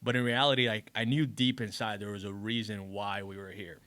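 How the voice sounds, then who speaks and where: An earnest male podcast guest from Colombia, with a bright, forceful edge over a lowish voice. He tells his story reflectively, and the recording is close and dry. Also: little pitch movement, fairly quick, no room sound. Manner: earnest, reflective, says you know a lot. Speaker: podcast guest, business owner. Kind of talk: casual interview storytelling.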